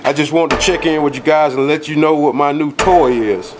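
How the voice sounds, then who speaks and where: A man with a cold and a nasal voice, filming a folksy, rambling walkthrough of a dark house basement on a handheld camera, with lots of fillers and restarts. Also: a little high, a little fast, background noise. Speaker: outdoors video host. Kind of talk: casual vlog walkthrough.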